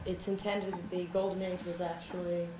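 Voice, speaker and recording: A woman's voice: fairly low pitch, little pitch movement, moderate pace, mellow. A woman moderating an event in informal on-stage conversation. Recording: roomy hall, clear background noise.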